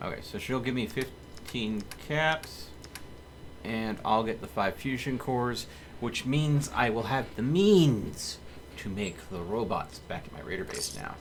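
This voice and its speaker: A man commentates casually on his game stream in a mid-range voice that swings up and down in lively fashion. Faint noise sits behind him. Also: medium pitch, a little slow. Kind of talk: casual live gameplay commentary.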